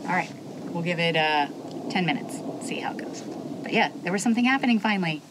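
A woman's voice: on the low side, expressive, fast. Chatty woman vlogging in a noisy van. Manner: chatty, thinks aloud, mutters to herself while working. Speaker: camping vlogger. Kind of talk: casual hands-on demo. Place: inside a parked camper van. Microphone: camera mounted inside the van. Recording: loud background noise in a van.

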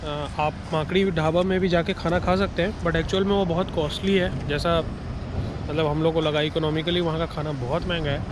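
A man's voice: fairly high pitch, fairly even intonation, fairly quick, background noise. A man in his thirties from central India, chatting casually to his camera while driving on a road trip. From Chhattisgarh, India. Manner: chatty, mixes English phrases into Hindi. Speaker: travel vlogger. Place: inside a car on a highway.

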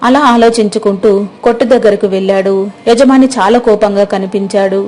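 A woman's voice: medium pitch, fairly even intonation, fairly quick, dark tone. A woman narrating a scripted moral story as the storyteller.

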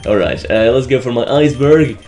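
A young man with a Belgian accent, raspy from just waking up, giving rambling, joking commentary over a game he is playing and often stumbling over his words. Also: medium pitch, fairly quick, darkish tone, faint music behind. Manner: rambling, stammering restarts, joking, laughs often. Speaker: let's play host. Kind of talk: casual gameplay commentary.

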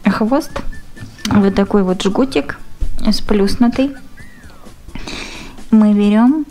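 Slow-paced woman giving craft instructions. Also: mid-range voice, mellow, soft background music. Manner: unhurried, short phrases, fond diminutives. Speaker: craft tutorial presenter. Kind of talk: step-by-step instructional narration.

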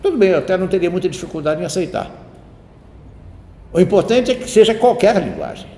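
A man's voice: sits high, huge pitch swings, brisk, warm tone. Lecturing man in an echoey hall. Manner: discursive, digressive, with frequent tag questions. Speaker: university lecturer. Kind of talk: spoken lecture. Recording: roomy hall with faint ambient noise.

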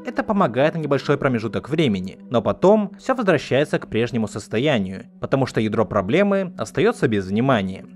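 A man narrating a scripted explanatory video essay in a measured, didactic manner. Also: mid-range voice, sing-song. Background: background music.

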